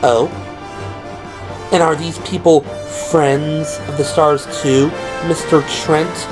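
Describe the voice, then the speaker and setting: A man's voice: on the high side, steady tone, takes it slowly, over music, pitched above the performer's own voice. A man voicing a tough, guarded young policewoman who talks bluntly and challengingly, in an audio drama. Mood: suspicious. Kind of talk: audio drama character dialogue.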